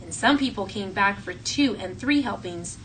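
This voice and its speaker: A woman teacher reading a story aloud to children in an expressive, clearly phrased way and talking to the class. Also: mid-range voice, normal speed. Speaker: elementary school teacher. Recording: distant mic, faint classroom noise.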